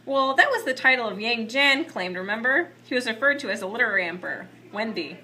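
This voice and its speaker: A woman's voice: on the high side, lively intonation, fast talker, bright, forceful tone, rough recording. A woman playing a patient puppet history teacher, delivering a scripted lesson to an unruly class in an amateur show. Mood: instructive. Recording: faint background noise, amateur recording.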